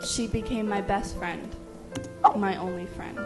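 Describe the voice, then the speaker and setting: A woman's voice: medium pitch, fairly even intonation, unhurried. A woman narrating a scripted first-person story in a confiding tone. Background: music.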